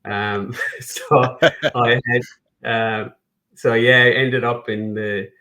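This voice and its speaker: A man's voice: medium pitch, little pitch movement, slow pace, bright, forceful tone, poor audio. A middle-aged man with a Kerry Irish accent, a guest in a casual podcast interview, joking at his own expense and restarting his sentences often. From Ireland. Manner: self-deprecating humor, frequent restarts and fillers.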